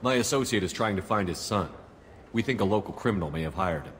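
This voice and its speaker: An actor playing a decisive, principled military commander in a video game, direct and businesslike. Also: male voice, low-pitched, lively intonation, fast talker, slight background noise. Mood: inquiring. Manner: direct, businesslike, terse questions.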